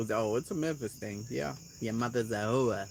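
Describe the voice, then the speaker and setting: A woman with a Boston accent hosting a casual live chat at home, blunt and quick to swear, laughing often as she reacts to comments. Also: rumbling low, vocal fry, slight background noise. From Boston area, USA. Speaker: channel host.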